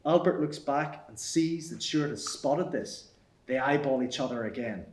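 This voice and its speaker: A man reading stage directions aloud at a live table read, even and matter-of-fact, in an echoey theatre. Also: mid-range voice, moderate pace. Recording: echoey hall.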